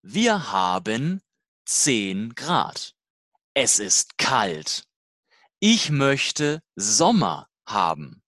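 Very slow, deliberate language-lesson voice. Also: mid-range voice, very animated, fairly bright tone, no echo, clean studio sound. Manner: slow, deliberate, simple learner-level sentences. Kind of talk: scripted slow language-learning dialogue.

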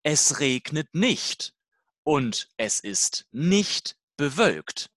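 A speaker reading a scripted language-learning dialogue slowly and deliberately, in short, simple learner-level sentences. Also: mid-range voice, sing-song, a bit bright, dry recording, clean studio sound.

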